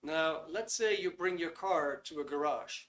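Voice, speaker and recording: A Dutch man hosts a live online workshop over a computer mic. His voice sits a little high for a man. He talks in a loose, friendly way and fills his pauses with ums. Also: a bit bright. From Netherlands. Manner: chatty, reads out chat comments, frequent ums. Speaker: webinar host. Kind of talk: casual live webinar talk.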